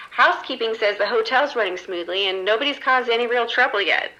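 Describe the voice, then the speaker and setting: A woman's voice: fairly high pitch, sharp tone, lo-fi sound. A woman playing an upbeat, unruffled casino floor manager in an audio drama, chatting in a matter-of-fact, reassuring way. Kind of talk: scripted horror audio drama radio exchange.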